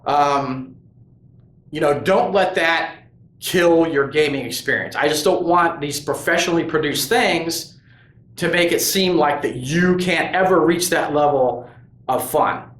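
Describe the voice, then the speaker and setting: A man's voice: on the high side, measured pace, fairly bright tone, slight background noise. Earnest, rambling man in an echoey room. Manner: rambling, earnest, with many restarts and "you know". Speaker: host of a tabletop gaming crafts channel. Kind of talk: casual opinion monologue to camera.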